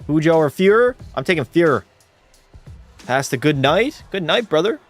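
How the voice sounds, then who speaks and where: Male streamer calling hockey and chatting with viewers, a touch high-pitched, laid-back delivery. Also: moderate pace, soft background music. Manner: relaxed, drops into terse hockey call mode. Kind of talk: casual play-by-play commentary and chat reading.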